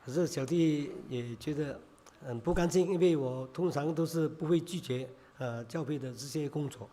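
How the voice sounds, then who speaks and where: A hoarse male preacher delivering a sermon through a cold, his speech halting and thick with filler sounds. His voice sits fairly high and sounds mellow and relaxed rather than bright. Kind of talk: sermon in Chinese.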